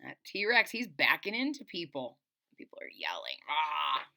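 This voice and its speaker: A middle-aged woman reads a children's story aloud with a very animated, swooping delivery. Her voice is bright and forceful. She leans on key words for drama and breaks off to explain things simply to young listeners. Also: deep voice, a little slow, gravelly. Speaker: storyteller reading a picture book aloud. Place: small indoor room at home.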